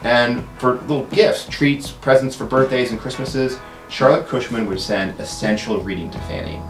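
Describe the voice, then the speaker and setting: A man's voice: medium pitch, normal speed, roomy sound, faint music behind, poor audio. A man presenting to camera as a playful, self-aware museum education host.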